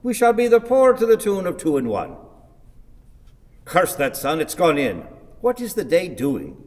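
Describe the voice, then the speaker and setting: An actor playing an irascible, sardonic old blind husband in a radio play, grumbling and declaiming theatrically. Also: male voice, high-pitched, huge pitch swings, a little slow, darkish tone, full character voice. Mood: grumbling, brooding. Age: older. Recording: reverberant hall.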